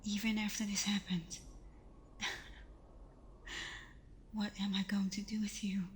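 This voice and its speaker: Slow, whispery vampire girlfriend played by a woman. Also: mid-range voice, level tone, a little hiss or hum, natural voice. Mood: solemn, reluctant. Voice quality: soft, breathy half-whisper. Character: protective vampire girlfriend, anguished, scolding, tender. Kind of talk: ASMR roleplay, close intimate acting.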